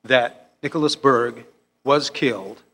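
A man's voice: mid-range voice, slow. Male speaker at a public talk, recounting reports step by step, long hall reverb. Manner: animated, retelling news reports step by step. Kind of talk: lecture to an audience.

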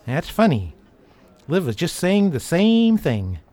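A woman's voice: on the low side, very animated, slow pace, mellow, faint music behind, exaggerated voice. A woman playing a wry, guarded, protective war veteran turned detective in an audio drama. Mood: wry, awkward.

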